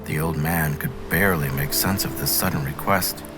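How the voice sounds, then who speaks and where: A man narrates a scripted fairy tale as a read-aloud storyteller. His voice sits low, and music plays clearly behind it. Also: moderate pace.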